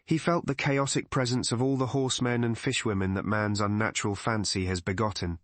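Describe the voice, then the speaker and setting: A man's voice: lowish voice, fairly even intonation, a little fast, dry recording. A man reads a literary detective story as a scripted audiobook narration.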